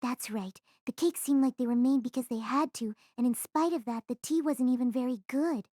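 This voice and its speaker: A woman's voice: sits high, brisk, dark tone, dry recording, clean studio sound. A woman playing a cheerful, chatty teenage schoolgirl in an audio drama. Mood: chatty, recalling. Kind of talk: anime-style audio drama acting.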